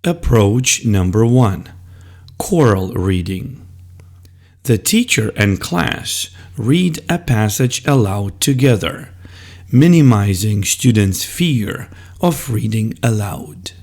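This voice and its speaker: Male teacher for a scripted explainer: slow, deliberate, slightly gravelly, echoey room. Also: fairly low pitch, animated, a little mellow. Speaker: teacher presenting an educational video.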